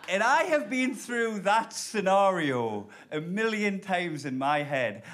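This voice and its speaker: A man in his thirties from Blyth with a Geordie accent, doing an animated, sweary stand-up set live on stage. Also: on the high side, noisy background. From Blyth, northeast England. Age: 30s. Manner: animated, swearing, laughing storyteller. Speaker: stand-up comedian. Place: live comedy club. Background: audience laughter.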